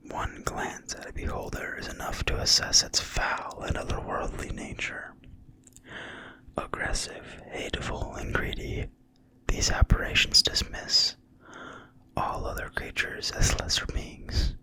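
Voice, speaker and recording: A breathy whisperer reads aloud in ASMR style, never using full voice. The whisper is bright and sibilant. The delivery is slow, hushed and deliberate, with measured pauses between phrases. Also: poor audio. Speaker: narrator reading from a fantasy game manual.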